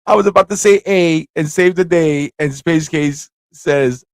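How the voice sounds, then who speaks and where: A man's voice: on the high side, measured pace, clear, resonant tone, dry recording. Casual man streaming, dry close sound. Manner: informal, playful, thinking aloud. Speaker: livestream host playing an interactive story. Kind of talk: casual livestream chat with viewers.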